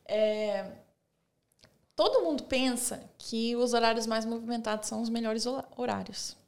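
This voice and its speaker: A young woman from Brazil with a slightly high voice chats casually as a podcast guest. She tells her stories loosely, leaning on fillers along the way. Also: moderate pace, vocal fry, a little mellow. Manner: chatty, storytelling, frequent "tipo" and "sabe". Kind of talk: casual interview chat.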